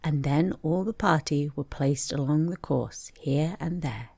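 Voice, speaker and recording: Female bedtime storyteller, low register, husky and creaky, scripted narration. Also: measured pace, dark tone, dry recording, studio quality.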